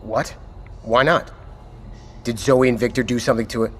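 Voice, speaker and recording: An actor playing a terse, impatient military commander in an audio drama, curt and full of demanding questions. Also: male voice, mid-range voice, steady tone, normal speed, fairly bright tone, natural voice. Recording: clear background noise.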